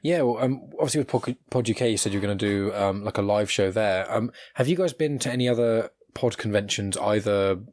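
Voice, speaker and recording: A man's voice: on the low side, fairly quick, few pauses, a little mellow. An English man hosting a podcast in a casual, conversational style. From England. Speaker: podcast host, interviewer. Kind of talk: casual chat.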